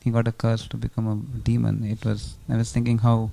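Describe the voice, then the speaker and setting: A man's voice: mid-range voice, monotone, fairly quick, gravelly, mellow. A man sharing a reflection as a participant in a group discussion.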